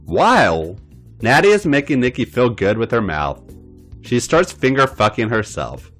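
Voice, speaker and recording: A man's voice: medium pitch, very animated, measured pace, a bit bright, soft background music. A man reading erotic fan fiction aloud as a podcast host, playful and theatrical.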